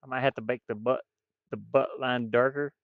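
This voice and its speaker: A man chatting casually on a livestream while drawing, rambling and muttering to himself, with bursts of loudness. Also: medium pitch, steady tone, normal speed, no echo, phone-quality sound. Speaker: streamer drawing digital art.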